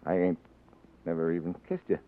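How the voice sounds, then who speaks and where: An actor playing a rough, plain-spoken politician and awkward husband in a 1940s radio drama, with an American accent and colloquial, gruff speech. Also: male voice, low-pitched, little pitch movement, a little fast, lightly acted. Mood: bashful, awkward. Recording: tinny old radio recording with music.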